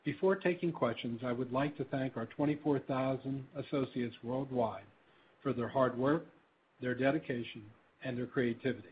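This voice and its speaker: A man reading scripted prepared remarks as a company executive on a conference call, in a formal, measured business delivery. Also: mid-range voice. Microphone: telephone. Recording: tinny phone-line conference call with faint noise.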